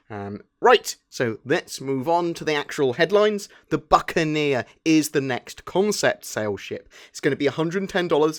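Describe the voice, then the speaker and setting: Man hosting gaming news. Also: a little high. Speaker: host of a gaming news channel. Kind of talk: semi-scripted news roundup narration.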